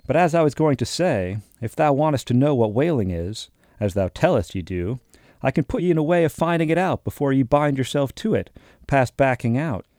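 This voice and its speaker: A man voicing a gruff, suspicious old sea captain who blusters and fires challenging questions in archaic speech. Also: medium pitch, expressive, a little fast, a little mellow, no echo, clean studio sound, natural voice.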